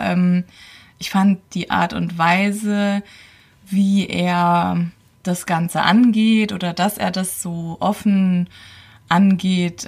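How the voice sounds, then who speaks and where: A woman's voice: fairly low pitch, creaky. A woman around forty, a podcast guest telling a personal story casually and thinking aloud as she goes. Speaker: podcast guest, host's partner.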